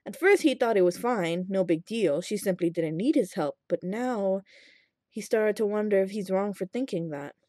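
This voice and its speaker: A woman reading fan fiction aloud as an expressive scripted narration. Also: mid-range voice, moderate pace, no echo, studio quality.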